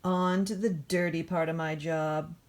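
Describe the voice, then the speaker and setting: A woman voicing an eager, boastful backhoe loader in an expressive, playful picture-book read-aloud. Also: low-pitched, measured pace. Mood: straining, determined. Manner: expressive, playful, emphatic storybook reading. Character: eager, boastful cartoon backhoe loader who loves dirty work.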